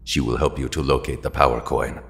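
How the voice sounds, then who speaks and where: Abyssally deep, solemn alien mentor. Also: male voice, fairly even intonation, creaky, a bit bright, heavily acted. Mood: calm, instructive. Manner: measured, formal, grave. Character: ancient, solemn alien mentor to young heroes. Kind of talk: audio drama acting. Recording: long cavernous reverb.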